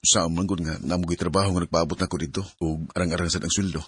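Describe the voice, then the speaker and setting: Fast-talking, low-voiced earnest young suitor, played by a man, Cebuano Filipino. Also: little pitch movement, lightly acted. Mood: reassuring. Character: earnest young working-class male suitor, pleading. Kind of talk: Bisaya radio drama acting. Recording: noisy radio drama audio with clicks.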